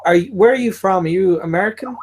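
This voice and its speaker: A man whose voice sits a little high. He joins a casual stream chat, breaks in tentatively, and asks polite questions. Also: fast talker, breathy edge. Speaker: stream guest.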